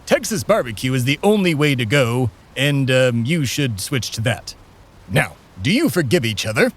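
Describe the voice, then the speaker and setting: A man's voice: medium pitch, sing-song, moderate pace, a bit bright. A man hosting and starring in a comedy audio drama, talking in a formal, pompous way. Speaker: host, lead character.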